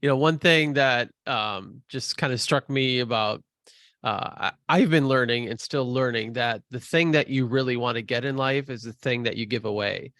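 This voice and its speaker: Guy hosting a podcast, thinking out loud, mid voice, dry close sound. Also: mid-range voice, normal speed, no room sound. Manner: reflective, thinking aloud with hesitations. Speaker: podcast host. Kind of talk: casual interview chat.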